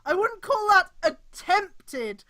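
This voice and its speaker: A woman streamer reading fan fiction aloud in a mocking live style, with exasperated outbursts, drawn-out emphasis and swearing. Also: extremely high pitch, big pitch swings, pushed and bright.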